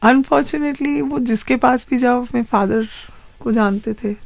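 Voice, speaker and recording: Female interview guest. Fairly high voice noticeable fry, explaining personal experiences candidly in a conversational way. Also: measured pace, no room sound. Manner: candid, explanatory, mixes English words into speech.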